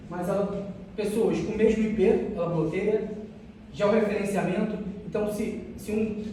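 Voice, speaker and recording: A Brazilian man giving an academic research presentation as a student, haltingly, often repeating and restarting phrases. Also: sits high, dark tone. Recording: distant mic, very echoey room, faint noise.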